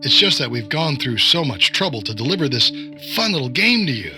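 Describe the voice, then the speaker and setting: A man's voice: medium pitch, sing-song, a little fast, over music, natural voice. An actor playing a condescending government agent in an audio drama, wordy and mock-polite as he toys with his target. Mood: coy, controlling.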